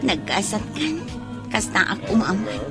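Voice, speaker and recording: A woman's voice: mid-range voice, big pitch swings, a little slow, music in the background. An actress playing an ambitious, regretful young pregnant village woman in an Ilocano radio drama. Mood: envious, regretful.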